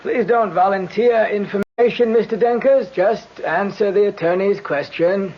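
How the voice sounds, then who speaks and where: An actor playing a stern, formal courtroom official in a radio drama, clipped and authoritative. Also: male voice, sits high, normal speed. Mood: stern, admonishing. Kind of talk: 1970s radio drama acting. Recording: dull old radio broadcast recording.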